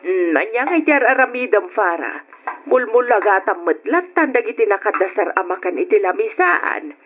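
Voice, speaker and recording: A woman playing a kindly, brisk older auntie in an old radio drama, with an Ilocano accent. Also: medium pitch, animated, soft background music, lightly acted. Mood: kindly, insistent. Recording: dull noisy old radio recording.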